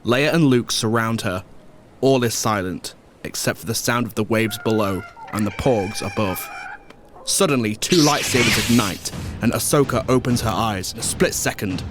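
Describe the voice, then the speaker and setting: A man's voice: fairly low pitch, moderate pace, noisy background. A man narrating an action audio drama from a script in the present tense. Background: loud music.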